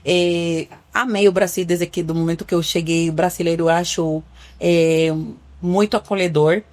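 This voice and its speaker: A woman's voice: lowish voice, steady tone, normal speed, a little mellow. Cuban-accented woman doctor, earnest. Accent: Cuban Spanish. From Cuba. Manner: earnest, explains with patient examples, some restarts. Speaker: family doctor. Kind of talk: podcast interview.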